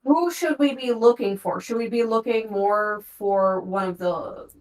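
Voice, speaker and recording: A woman's voice: mid-range voice, a little slow, echoey room, poor audio. A woman talking informally as a tabletop game player, posing questions and speculating about a mystery. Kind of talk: casual discussion of a mystery in a game.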